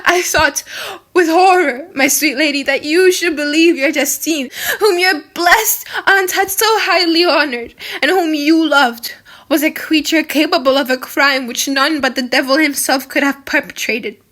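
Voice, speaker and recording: A young condemned servant woman played by a female narrator speaks in a high, sharp-edged voice. She is pious and wretched, pleading with raw anguish in the manner of a dramatic classic audiobook. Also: a little slow. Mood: anguished, sobbing. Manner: sobbing, pleading, breathy outbursts. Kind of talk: dramatic audiobook character acting.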